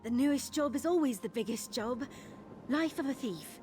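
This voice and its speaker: Eager young thief, high voice, very lively pitch, nervy, conspiratorial, video game heroine. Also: female voice, moderate pace, faint music behind. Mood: focused, eager. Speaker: game character, thief companion.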